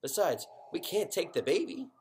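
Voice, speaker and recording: A man's voice: high-pitched, big pitch swings, moderate pace, darkish tone, a little hiss or hum, pitched above the performer's own voice. A man voicing a practical, exasperated mother with a pointed manner in a children's story. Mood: objecting.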